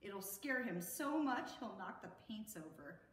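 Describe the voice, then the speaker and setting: A middle-aged American woman reading a picture book aloud to children in an expressive, sing-song way. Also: mid-range voice, a little slow, slight background noise. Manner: sing-song, expressive, addressing young listeners. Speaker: children's storytime host. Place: indoor room at an arts center. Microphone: camera a few metres away. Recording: roomy echo, distant camera mic.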